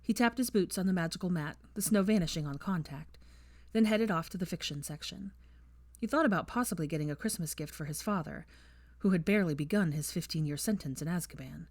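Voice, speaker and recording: A woman with a fairly low voice reads a story aloud at an even, measured pace. The recording is dry and close, with no room echo. Her delivery stays steady, like a reader guiding listeners through each scene. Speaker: audiobook reader. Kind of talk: fan fiction audiobook narration.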